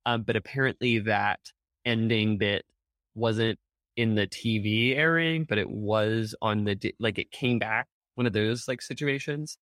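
Excitable podcast co-host, man. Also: a little slow, dry recording, studio quality. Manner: excitable, jumps up in pitch when outraged; many fillers and restarts. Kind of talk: casual chat about a TV episode.

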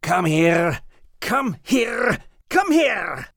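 A man's voice: high-pitched, big pitch swings, extremely slow, gravelly, fairly bright tone, dry recording, clean studio sound, voice pushed up, full character voice. A man voicing a small, feisty, anxious Scottish dog in a storybook, exclaiming and fretting to himself. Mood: straining, fierce. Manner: exclamatory, strained, talks to himself.

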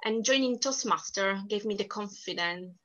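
A woman from Sicily speaks English with a strong Italian accent, her first language being Italian. Her voice is bright and forceful, and she talks earnestly, pushing her points with emphasis and frequent restarts. The sound is dull and lo-fi. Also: medium pitch, normal speed. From Sicily, Italy. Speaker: podcast guest. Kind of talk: casual interview talk.

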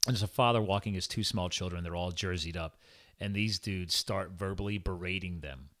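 A man in his forties with a Philadelphia-area American accent, hosting a casual podcast chat in an informal, animated way. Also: sits low, brisk. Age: 40s. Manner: animated, informal, peppered with "like" and "guys". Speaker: podcast host. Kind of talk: casual conversational podcast interview.

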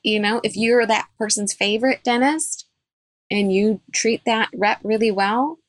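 A woman's voice: a little high, measured pace, no room sound. A woman dentist, a guest on a podcast interview, giving firm and emphatic advice.

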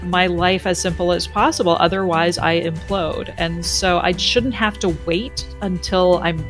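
A woman speaks candidly and confessionally in a slightly low voice. Music plays clearly behind her. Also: fairly even intonation, a little slow. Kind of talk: podcast conversation clip.

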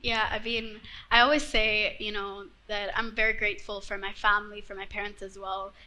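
A woman's voice: mid-range voice, little pitch movement, moderate pace, bright, forceful tone, poor audio. A young woman interviewing her guest in a casual, eager and upbeat way. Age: young adult.

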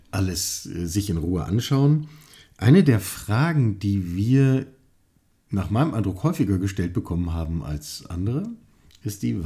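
Lively low-voiced male podcast host. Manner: playful, lively, toys with his own phrasing. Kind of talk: casual conversational podcast.

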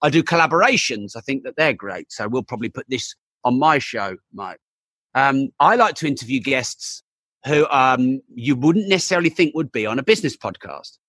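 A man talks as a podcast guest, full of energy and tripping over his own words as he rushes on. His voice is bright and forceful, recorded dry and close with no room sound. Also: mid-range voice, animated, husky, dry recording. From England. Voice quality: voice still not fully recovered. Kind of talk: casual interview chat.

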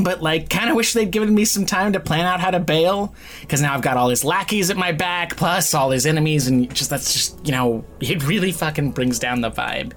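An actor playing a flippant, guarded young magic-user in an audio drama, casual and profane, with a halting, sardonic delivery. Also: male voice, sits high, fairly bright tone. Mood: bitter, uneasy. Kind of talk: naturalistic audio drama acting.

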